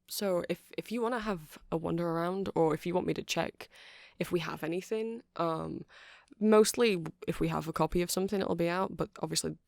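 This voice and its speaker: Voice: medium pitch, a little fast, creaky, few pauses, no room sound, studio quality, natural voice. A performer of unclear sex playing a nerdy, eager, apologetic music-shop clerk in an ASMR roleplay, hesitant and rambling. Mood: helpful, rambling. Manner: hesitant, rambling, self-deprecating, nervous little laughs.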